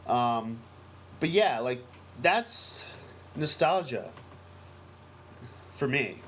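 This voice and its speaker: A man's voice: mid-range voice, expressive, drawn-out words, a bit bright. An American man co-hosting a casual podcast, talking in a rambling, emphatic, enthusiastic way. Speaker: podcast co-host. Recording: noisy amateur podcast recording.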